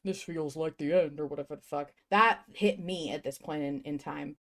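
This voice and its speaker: A woman's voice: deep voice, normal speed, a bit bright. A woman hosting a casual fan podcast, talking in an emphatic, slangy way with plenty of swearing. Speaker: podcast host.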